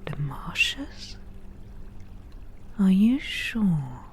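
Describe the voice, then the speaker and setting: A woman playing a wry, teasing forest witch in a softly whispered ASMR roleplay, with dry, unhurried delivery. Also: mid-range voice, sing-song, over music. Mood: curious, mocking.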